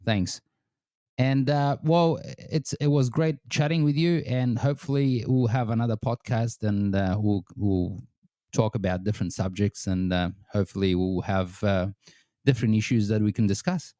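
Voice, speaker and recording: A man's voice: fairly low pitch, normal speed, warm tone, dry recording, studio quality. A man from Afghanistan co-hosting a podcast, stammering a little and saying "mate". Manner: stammering, laughs often, says mate. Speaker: podcast co-host. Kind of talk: casual interview chat.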